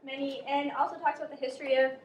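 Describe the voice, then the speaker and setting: A young woman as a guest cook, explaining a recipe step by step in a relaxed way with plenty of fillers. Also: high voice, racing. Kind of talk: casual cooking instruction. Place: outdoor cooking spot at a river park. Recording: echoey, faint noise.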